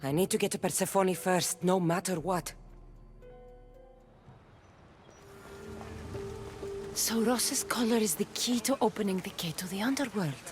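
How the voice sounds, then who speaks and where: A woman's voice: medium pitch, fairly quick, a little mellow, lightly acted. An actress voicing a bold, wry warrior woman in a mythic Greek video game. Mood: determined. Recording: music behind the voice.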